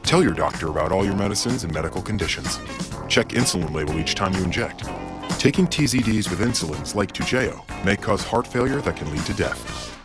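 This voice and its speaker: Male voice-over, low, smooth, over clear music. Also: lively intonation, brisk. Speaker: announcer. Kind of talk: ad voice-over with fast disclaimers. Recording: music behind.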